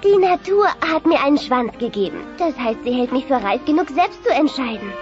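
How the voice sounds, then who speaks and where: Very high-voiced teenage dinosaur girl. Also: expressive, fairly quick. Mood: stubborn, hopeful. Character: teenage dinosaur daughter, indignant, wounded, stubborn. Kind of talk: German dubbed family sitcom audio play. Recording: dull cassette transfer with music behind.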